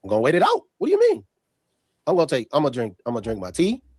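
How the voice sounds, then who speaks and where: A man in a played podcast clip, arguing back in a rapid, animated way. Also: on the high side, slightly rough, no echo, clean studio sound. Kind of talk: casual debate.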